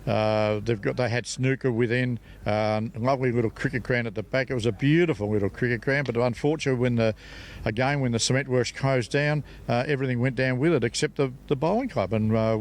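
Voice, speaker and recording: An older Australian man giving halting, unscripted answers as a club representative in an interview. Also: medium pitch, fairly even intonation, slight background noise.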